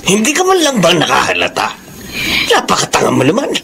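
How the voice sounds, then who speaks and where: An actor playing a stern older father, gravelly and bluntly scolding, in a Tagalog radio drama. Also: high voice, wildly swooping pitch, fairly quick, noisy background, heavily acted. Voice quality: gravelly, creaky.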